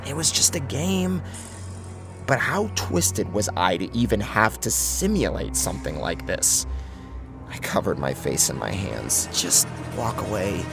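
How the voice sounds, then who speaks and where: A male narrator reads a first-person horror tale with theatrical intensity. His voice is deep and gravelly and moves through a lively range. Music plays clearly behind him.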